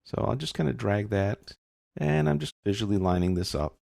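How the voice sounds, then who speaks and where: A man with a deep voice and a noticeable fry explains software steps as an instructor. His delivery is informal and explanatory, like someone walking a learner through each click. The recording is dry, with no room sound. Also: expressive, moderate pace, no room sound. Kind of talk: casual step-by-step screen tutorial narration.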